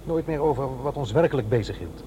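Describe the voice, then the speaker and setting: A man's voice: mid-range voice, animated, lo-fi sound, lightly acted. Man as a defensive middle-aged father over car noise. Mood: earnest, explaining. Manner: halting, explanatory, defensive. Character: middle-aged divorced father, defensive, earnestly explaining himself. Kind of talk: radio drama dialogue. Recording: clear vehicle noise behind voice. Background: car driving ambience.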